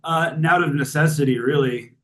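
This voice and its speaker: Gravelly man on a video call. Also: fairly high pitch, a little fast. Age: 40s. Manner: relaxed, rambling, with frequent fillers. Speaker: guest musician. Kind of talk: casual interview chat. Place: hotel room on tour.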